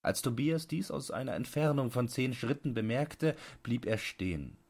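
A man reading literary fiction as an audiobook narrator, in a measured, deliberate bedtime-story manner. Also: medium pitch, animated, gravelly, darkish tone.

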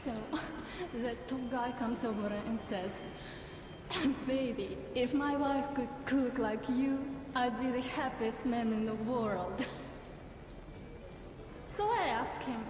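A woman's voice: fairly high pitch, background noise. An actress voicing an outspoken woman living in an underground station in a video game. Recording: echoey hall, music behind.

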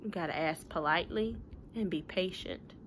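A woman's voice: lowish voice, moderate pace, a bit bright, a little hiss or hum. A young woman, talking informally to pupils and parents in a folksy, plain-spoken way with rhetorical questions. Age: young adult. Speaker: schoolteacher. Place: living room at home.

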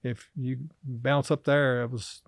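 Halting middle-aged man. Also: medium pitch, little pitch movement, vocal fry, no room sound, clean studio sound. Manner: halting, many uh fillers and restarts. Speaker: podcast guest, river angler. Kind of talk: casual interview chat. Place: small office studio, indoors. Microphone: desk podcast mic on boom arm.

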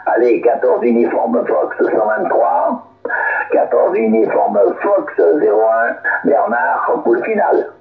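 A man chatting casually over a radio link as an amateur operator, rambling and halting. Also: medium pitch, very animated, creaky. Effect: radio transmission. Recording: phone-like radio signal, echoey.

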